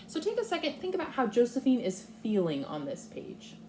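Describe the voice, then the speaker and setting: A woman's voice: on the high side, wildly swooping pitch, brisk, dark tone, slight background noise. A woman speaking as a kindergarten teacher, explaining and thinking aloud step by step in a read-aloud lesson for young children.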